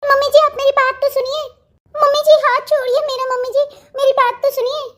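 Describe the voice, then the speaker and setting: A sly young sister-in-law from a cartoon melodrama, voiced by a woman. Her pitch sits high. She speaks in a coaxing, reassuring way, like someone hatching a secret plan. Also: little pitch movement, brisk, fairly bright tone. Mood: panicked, pleading. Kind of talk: melodramatic family cartoon dialogue.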